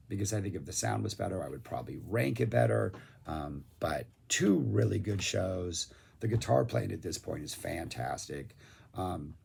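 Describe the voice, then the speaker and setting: Hoarse gravelly man reviewing music. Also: low voice, darkish tone. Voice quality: gravelly, raspy. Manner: rambling, enthusiastic, lots of fillers. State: losing his voice, hoarse. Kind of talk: casual review monologue.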